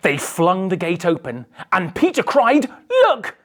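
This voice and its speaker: A woman's voice: lowish voice, sing-song, unhurried. A woman reading a children's picture book aloud with theatrical, dramatic emphasis. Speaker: storybook reader.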